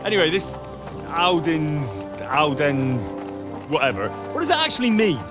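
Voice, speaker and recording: An actor playing a dutiful, sensible young commoner bodyguard, sarcastic and down-to-earth, in a video game cutscene. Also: male voice, fairly high pitch, sing-song, measured pace, music in the background. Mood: dry, unimpressed.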